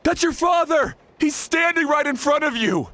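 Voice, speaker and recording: A man's voice: extremely high pitch, lively intonation, moderate pace, background noise. A man voicing a brash, urgent young fighter in a video game, calling out in an exclamatory way. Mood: alarmed. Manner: shouted, exclamatory.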